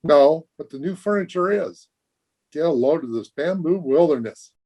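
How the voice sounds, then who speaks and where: Man playing an eager teenage boy, highish voice. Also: animated, normal speed, highs cut off. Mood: breezy. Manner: eager, slangy, boyish. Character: eager, breezy teenage high school boy. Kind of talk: old-time radio comedy acting. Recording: remote video-call recording.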